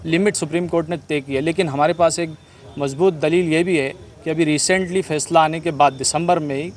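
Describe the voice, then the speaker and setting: A man's voice: on the high side, fairly even intonation, fairly quick. A man giving a spontaneous interview statement, explaining and arguing his side as a petitioner, mixing in English terms.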